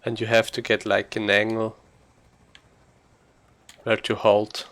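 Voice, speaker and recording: Low creaky-voiced man doing game commentary. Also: monotone, takes it slowly, a bit bright. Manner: informal, thinks aloud while playing. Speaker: speedrunner streaming a game. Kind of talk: casual live gameplay commentary.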